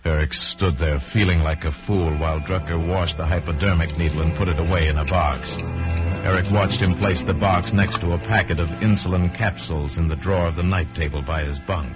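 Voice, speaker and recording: A man narrating a suspense tale in a deliberate, theatrical old-time radio drama style. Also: low-pitched, steady tone. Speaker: storyteller narrator. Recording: muffled old radio recording with music. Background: dramatic music.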